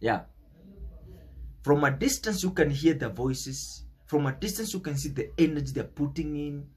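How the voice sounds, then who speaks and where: Young man, Kenyan accent, political commentator, animated intonation, somewhat husky, preachy delivery, stretched stressed words. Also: a little high. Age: young adult. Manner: emphatic, preachy, drawn-out stressed words and repeated phrases. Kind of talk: unscripted political monologue.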